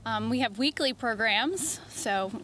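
Bright, high-pitched young woman. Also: lively intonation, a little slow. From New Jersey, United States. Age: young adult. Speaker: sailing camp director, schoolteacher. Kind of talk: informal interview answers. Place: outdoors on a waterfront dock. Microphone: interviewer's handheld microphone. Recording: outdoor sound with faint noise.

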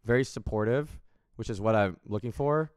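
A man's voice: fairly low pitch, moderate pace, a little mellow, dry recording. Rambling man streamer, dry close sound. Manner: rambling, swears freely, frequent restarts. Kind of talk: casual chat on a livestream. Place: home room with window, indoors.

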